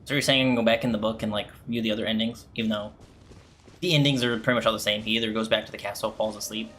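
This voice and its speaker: Bright-voiced man doing casual game commentary. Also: fairly low pitch, fairly even intonation, rapid-fire, a little hiss or hum. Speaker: gaming streamer. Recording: faint game music behind.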